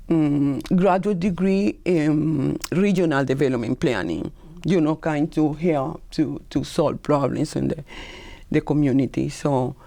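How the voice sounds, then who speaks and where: A woman's voice: low voice, dark tone. A woman from Colombia with a Colombian Spanish accent, a Spanish speaker, telling her story as a talk show guest and often repeating words as she searches for phrasing.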